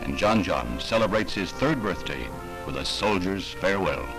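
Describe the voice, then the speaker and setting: Deep-voiced American man, solemn vintage newsreel narrator. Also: lively intonation, rough recording. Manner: grave, formal, measured oratorical delivery. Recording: vintage 1960s newsreel soundtrack. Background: music.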